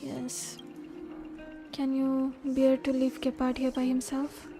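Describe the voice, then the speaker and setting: A woman's voice: high-pitched, completely flat delivery, slow pace. A woman with an Indian accent giving casual live game commentary as a streamer, switching between two languages. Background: game music.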